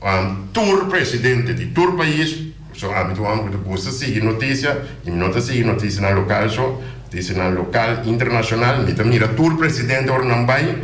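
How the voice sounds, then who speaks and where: A man's voice: mid-range voice, expressive, moderate pace, a bit bright, echoey room. A man speaking as a politician guest on a radio show, passionate and emphatic, often repeating his words. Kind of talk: radio interview, casual talk. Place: radio studio.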